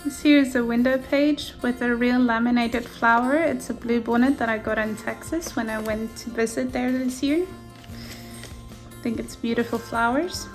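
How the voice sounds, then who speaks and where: A woman whose first language is Afrikaans, gently showing a handmade journal page by page in a casual flip-through. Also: fairly high pitch, faint music behind. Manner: gentle, softly enthusiastic, unhurried. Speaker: junk journal maker. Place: indoors at a craft table.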